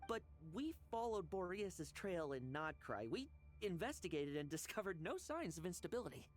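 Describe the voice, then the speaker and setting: A dutiful, upbeat young game fighter, talks with earnest, casual energy. The intonation swings in a lively way, with faint music underneath. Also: male voice, sits high, moderate pace. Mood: eager, earnest. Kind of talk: scripted video game voice acting.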